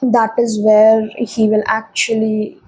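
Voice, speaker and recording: A woman doing a tarot reading to camera, feeling unwell and speaking lower than usual, soft and hesitant with frequent pauses. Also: completely flat delivery, slightly rough, rough recording. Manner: soft, hesitant, with frequent ums and repeated phrases. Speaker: tarot reader.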